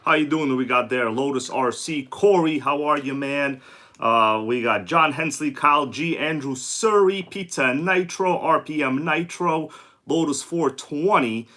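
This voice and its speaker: A man with a bright, slightly high voice hosts a casual livestream. He has a sore throat and sounds congested. He talks in a loose, slangy way and keeps greeting viewers by name. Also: measured pace. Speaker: livestream host. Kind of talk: casual live-stream chat.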